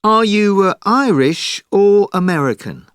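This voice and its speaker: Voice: medium pitch, expressive, unhurried, smooth, no echo, clean studio sound. An interviewer asking short questions in a scripted textbook dialogue, often starting with a hesitant "uh".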